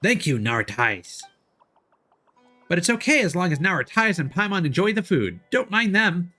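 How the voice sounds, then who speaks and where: A little forest spirit from a fantasy game, put on by a man, naive and gentle in manner. The voice is bright and lively, with simple, earnest phrasing. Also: sits high, normal speed, full character voice. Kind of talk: voiced reading of game dialogue.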